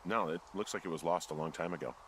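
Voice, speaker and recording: An actor voicing an easygoing American fire lookout in a video game, conversational, joking and deadpan. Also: male voice, sits low, fast, slight background noise. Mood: casual, curious. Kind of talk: naturalistic video game dialogue. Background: faint background music.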